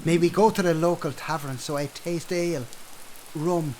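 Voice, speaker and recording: A man's voice: fairly high pitch, moderate pace, a little hiss or hum, close to an everyday voice, poor audio. A man playing a wily, cheeky Irish drinker and trickster in a radio play, wheedling in folksy old-fashioned dialect. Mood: pleading. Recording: loud music and rain behind.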